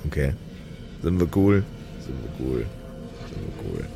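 A man's voice: extremely low pitch, wildly swooping pitch, measured pace, creaky, music in the background, voice pushed down, full character voice. A man voicing a shady, chummy cleric in an improvised audio game, slangy and repeating himself. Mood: cool, menacing. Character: shady, chummy male cleric playing it cool.

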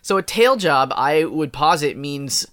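Giggly, lively-voiced podcast man. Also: a little high, unhurried, smooth, no room sound, clean studio sound. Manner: playful and giggly, animated reading. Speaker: podcast co-host. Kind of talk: casual comedic chat, reading posts aloud.

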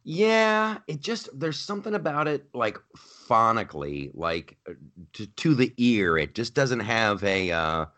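A man co-hosting a podcast, in animated political chat, often repeating himself and mocking others in put-on voices. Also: medium pitch, unhurried. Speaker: podcast co-host.

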